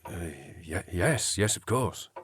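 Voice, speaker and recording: An actor playing a halting, respectful, sombre manservant with a husky voice in a period audio drama. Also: male voice, low voice, animated, fairly bright tone, a little hiss or hum, full character voice. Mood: flustered. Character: apologetic, deferential manservant of a great house.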